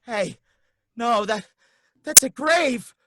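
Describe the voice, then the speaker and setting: An actor playing a nervous, stammering cab driver who turns out to be a ghost, in an old-time radio drama. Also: male voice, very high-pitched, expressive, slow pace, very noisy, exaggerated voice. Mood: panicky. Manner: folksy, anxious, stammering.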